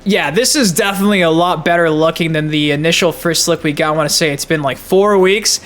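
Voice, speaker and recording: A man's voice: fairly high pitch, fairly quick, a bit bright. A man giving casual, hyped gaming news commentary as a channel host, slangy and emphatic.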